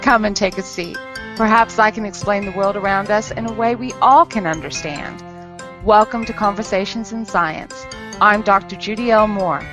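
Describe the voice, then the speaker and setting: A woman host delivers a playful, inviting scripted opening at a middle pitch. Clear music plays underneath her voice. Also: normal speed. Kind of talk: scripted show intro. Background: music bed.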